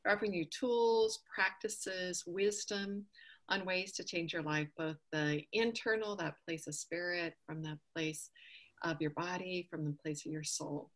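A woman's voice: fairly low pitch, fairly even intonation, unhurried. A woman hosting an online summit, introducing her guest with effusive enthusiasm over a video call. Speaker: host.

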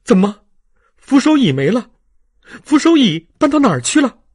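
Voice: mid-range voice, very animated, moderate pace, a little mellow, breathy edge, no room sound, pitched above the performer's own voice. A narrator voicing an indignant, insistent young woman in an audiobook, full of exclaimed questions. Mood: indignant, surprised. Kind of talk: audiobook character dialogue.

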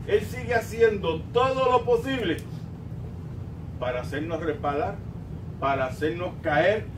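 An older man with a Puerto Rican accent preaching a sermon emphatically, swinging between drawn-out emphasis and quieter asides. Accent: Puerto Rican Spanish. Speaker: pastor. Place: small church sanctuary, indoors at a pulpit. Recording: roomy, distant mic, rumbling background noise.

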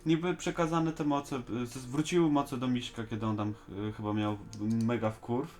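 A man's voice: mid-range voice, fairly even intonation, moderate pace, a little mellow. A man in a casual fan discussion, speaking colloquially with some slang. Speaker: host of a fan discussion show. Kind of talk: casual chat reviewing an animated series.

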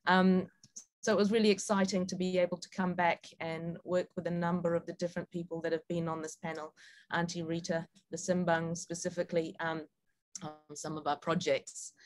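A woman speaks in a slightly low voice with a broad New Zealand accent. She presents informally as a guest speaker, with a casual, somewhat hesitant delivery. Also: level tone, brisk. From New Zealand and Sabah, Malaysia. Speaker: guest speaker, film director.

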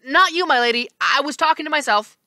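A woman's voice: mid-range voice, animated, a little fast, sharp tone, no room sound, studio quality. A woman reading aloud, voicing a two-faced male god of doorways who mocks and bickers with himself. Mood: bickering. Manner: teasing, sneering, squabbling with himself.

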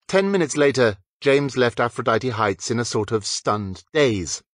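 Man, audiobook narrator, animated intonation, mid pitch, scripted storytelling. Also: measured pace, no room sound.

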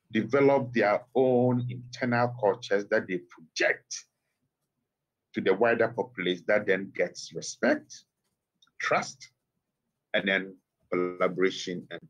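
A middle-aged man with a Ghanaian accent, a guest speaking by phone in a TV interview, measured and emphatic with pointed rhetorical questions. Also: lowish voice, low-quality recording. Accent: Ghanaian English. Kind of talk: TV interview commentary. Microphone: phone line.

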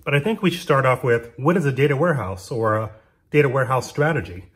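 A man giving a plain, steady whiteboard-style explanation while drawing on sticky notes at a desk. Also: medium pitch, quick pace.

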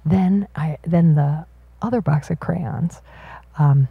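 A woman voicing a signed panel talk as an interpreter, in measured, halting phrases at an auditorium panel. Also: low voice, vocal fry, slightly rough, no echo, phone-quality sound.

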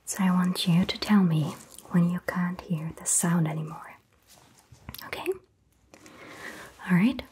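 Young woman, ASMR doctor roleplay: slow, low-pitched unvoiced whisper, gentle and reassuring, close-miked. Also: steady tone, slight background noise. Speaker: ASMR creator playing a doctor. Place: indoor set styled as a small doctor's office.

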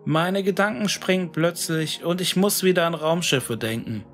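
A man narrating a scripted horror monologue in a musing, deliberate, brooding manner with dramatic pauses. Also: fairly high pitch, animated, a bit bright. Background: music.

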